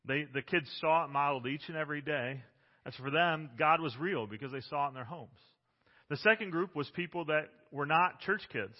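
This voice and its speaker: A man in his forties preaching a sermon as pastor, loud and emphatic, with frequent restarts, recorded on a microphone in a church. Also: on the high side, a little fast. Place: church sanctuary. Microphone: handheld or stand microphone. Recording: dull sound with long hall reverb.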